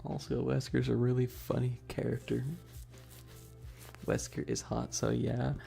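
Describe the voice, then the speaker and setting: Chill stream guy, really gravelly fry, soft dark tone, slangy joking chat, music in the back. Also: mid-range voice, little pitch movement, measured pace. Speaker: livestream host. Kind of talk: casual livestream chat, ASMR streamer.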